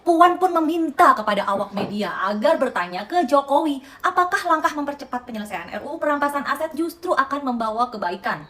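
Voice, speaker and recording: A woman's voice: sits high, expressive, fairly quick, hardly any pauses, pushed and bright. A woman host reads out news items and adds sarcastic remarks aimed straight at her viewers. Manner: sarcastic, addresses viewers directly. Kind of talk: reading news with sarcastic commentary.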